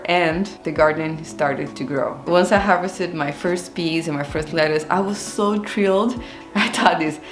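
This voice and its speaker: A woman in her thirties from Brazil, with a Brazilian accent, telling her own story on her gardening and cooking vlog in an earnest, open and reflective way. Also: deep voice. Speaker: gardening and cooking channel host. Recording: roomy echo with faint music.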